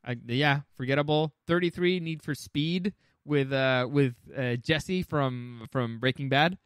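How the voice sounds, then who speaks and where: A man's voice: mid-range voice, measured pace, clear, resonant tone, no room sound, studio quality. A man co-hosting a casual talk show, giving blunt opinions with some swearing and stammered restarts as he runs through a movie ranking. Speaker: podcast co-host.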